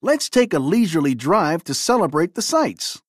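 A woman's voice: lowish voice, big pitch swings, moderate pace, husky, dry recording, studio quality. A woman voicing a cocky, friendly young male race car in a playful storybook reading. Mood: jolly.

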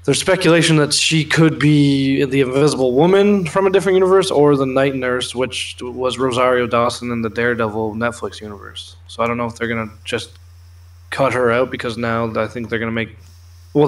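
A young man talks as co-host of an informal entertainment news show. His pitch is middling and stays quite flat. He explains things in a casual, sweary way, sitting in a room at home. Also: brisk. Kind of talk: casual chat, explaining film trailers and comics.

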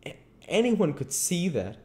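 A high-pitched, wildly swooping voice for an indignant, baffled tramp in a storybook, acted out by a man. He exclaims in disbelief and talks in rough, colloquial phrases. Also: very animated, a little slow, mellow. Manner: exclaiming, incredulous, colloquial speech. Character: bewildered, indignant working-class tramp, adult man.

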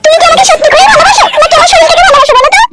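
A woman's voice: very high-pitched, racing, heavy background noise. A woman voicing a sulky, anxious young bride in a comedy cartoon, pouting, complaining and foul-mouthed.

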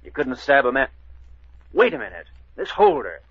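Husky, authoritative police chief. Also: male voice, mid-range voice, big pitch swings, no room sound. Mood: brisk, probing. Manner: deliberate, authoritative questioning and explaining. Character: brisk, shrewd male police chief investigating a murder. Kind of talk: 1940s radio drama acting. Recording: dull old-time radio recording.

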